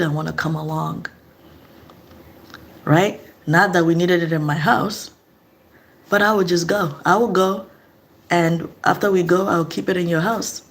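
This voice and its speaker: A middle-aged woman from eastern Nigeria, speaking in a casual, earnest vlog monologue and asking reflective questions. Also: fairly low pitch. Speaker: vlogger.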